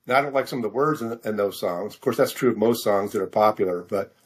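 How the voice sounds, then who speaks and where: A man's voice: fairly low pitch, quick pace, husky. Middle aged american guy being interviewed, casual, kinda hesitant and self-deprecating, stumbles over his words. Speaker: author interview guest.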